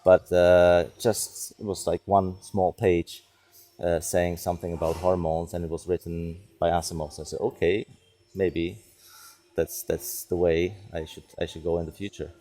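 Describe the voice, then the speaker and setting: A middle-aged man with a Czech accent, a non-native English speaker, answering interview questions conversationally and haltingly as he searches for words. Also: low-pitched, level tone, warm tone. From Czech Republic. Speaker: psychiatrist and neuroscience researcher, interview guest.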